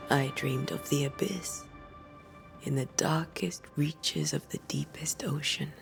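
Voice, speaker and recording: A woman playing a grave, cautious master ghost hunter in a video game cutscene, speaking in a measured, serious way. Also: sits low, steady tone, vocal fry, over music, exaggerated voice. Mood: hushed, dreamy.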